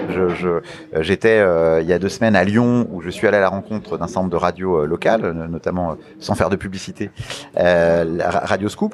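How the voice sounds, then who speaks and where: A man with a French accent answering interview questions as an official, formal and explanatory, feeling his way through phrases with repeated words. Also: lowish voice. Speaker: media regulator official being interviewed. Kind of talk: radio interview, explanatory institutional talk.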